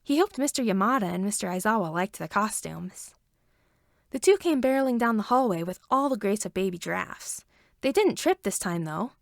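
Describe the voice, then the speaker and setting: A woman's voice: mid-range voice, lively intonation, normal speed, vocal fry, dry recording, clean studio sound. A woman reading a story aloud as scripted narration. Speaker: fan fiction reader.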